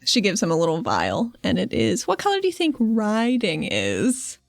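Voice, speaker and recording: A woman's voice: medium pitch, huge pitch swings, normal speed, vocal fry, no room sound, soft background music. A woman playing a tabletop role-playing game, chatting informally and playfully as she describes what her character does. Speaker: player in a tabletop RPG podcast. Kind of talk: casual game table chat and narration.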